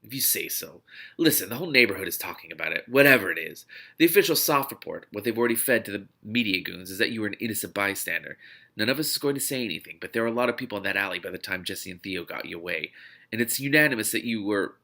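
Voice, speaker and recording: A man reading a storybook puts on an indignant, loyal man, blunt and loud. The voice is gravelly and forceful, full of protective anger. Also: on the low side, lively intonation, fast, exaggerated voice. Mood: gruff, protective.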